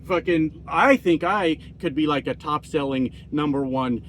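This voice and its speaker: Profane, nasal trucker ranting. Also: male voice, on the high side, measured pace, fairly bright tone, a little hiss or hum. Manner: profane, sardonic, self-mocking. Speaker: trucker vlogger. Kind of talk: casual ranting monologue.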